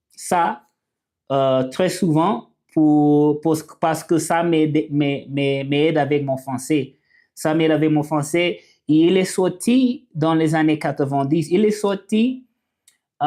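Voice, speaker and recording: A man whose first language is English, hosting a casual livestream and reading viewer comments, talking haltingly with many fillers and restarts. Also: a little high, darkish tone. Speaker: livestream host.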